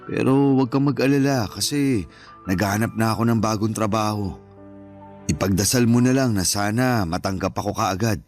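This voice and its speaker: A man's voice: lowish voice, moderate pace, darkish tone, close to an everyday voice. Man acting devoted Filipino father over music. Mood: reassuring, earnest. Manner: affectionate, encouraging, conversational. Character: devoted, reassuring middle-aged father of a college-age daughter. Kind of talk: Filipino radio drama acting.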